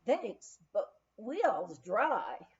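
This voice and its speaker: A woman voicing an earnest, plain-spoken Texan churchgoing man with a folksy, drawn-out delivery, in a storybook reading. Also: medium pitch, very animated, dull audio.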